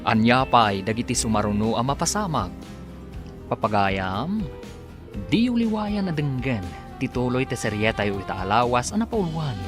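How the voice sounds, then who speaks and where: Animated Ilocano male radio drama announcer. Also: medium pitch, normal speed, music in the background. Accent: Ilocano Filipino. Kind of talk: dramatic scripted radio narration.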